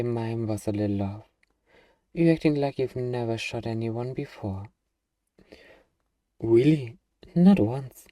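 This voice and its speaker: A man playing a gruff, defensive young zombie-apocalypse survivor with anxiety underneath, in an ASMR roleplay. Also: mid-range voice, dark tone, no echo, recorded from a distance, lightly acted.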